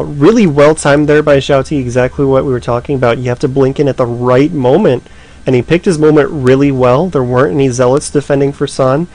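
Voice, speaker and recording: Steady man casting a strategy game. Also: mid-range voice, low-quality recording. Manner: steady analytical play-by-play. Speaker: esports commentator. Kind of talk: live game commentary.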